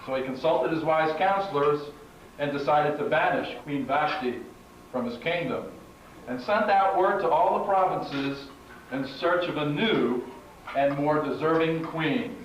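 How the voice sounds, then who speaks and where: A man's voice: a little high, measured pace, dull audio. A man hosting and narrating a live puppet show as a storyteller. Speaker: host and narrator. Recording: very echoey hall, distant mic, clear noise.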